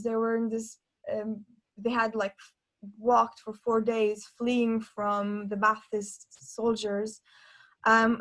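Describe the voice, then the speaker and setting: A woman from Norway taking part in a panel discussion, talking in an earnest, reflective way and pausing to restart her thoughts as she goes. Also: on the high side, low-quality recording. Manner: earnest, thinking aloud with pauses and restarts.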